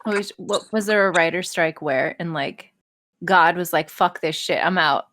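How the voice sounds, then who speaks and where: Low-pitched woman, candid and sweary. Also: a little slow, a bit bright. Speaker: podcast guest, audio creator. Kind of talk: casual podcast chat.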